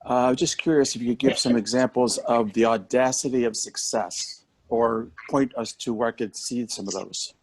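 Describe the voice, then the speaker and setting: A man's voice: mid-range voice, steady tone, moderate pace, few pauses, low-quality recording. A man taking part in an online class, asking casually in a polite, tentative way over a video call. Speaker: discussion participant asking a question.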